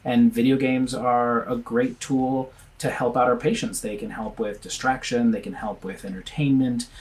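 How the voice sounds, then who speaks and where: A man from Venezuela, a pediatrician guest on a video call, with a voice at an ordinary middle pitch. He talks earnestly and thinks aloud as he goes, often restarting his sentences. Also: fairly even intonation, moderate pace, a little mellow. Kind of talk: conversational interview answers.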